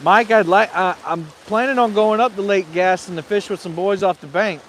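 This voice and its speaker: A man's voice: high-pitched, moderate pace, fairly bright tone. A man, chatting easily outdoors on a windy lake bank and reading out viewer comments. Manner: easygoing, reads out viewer comments. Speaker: guest reading chat. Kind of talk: casual livestream chat.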